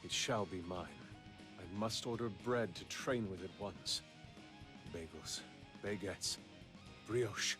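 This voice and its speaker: A man's voice: sits low, slow pace, long pauses. A man voicing an earnest, intensely devoted assassin disciple in a video game, formal and solemn. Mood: earnest, determined. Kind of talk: video game character acting. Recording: studio voice under loud guitar music.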